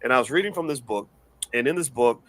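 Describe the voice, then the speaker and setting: A man hosting a news commentary show. He talks in an animated, rambling way and often repeats words. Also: mid-range voice, quick pace, slight background noise. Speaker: host.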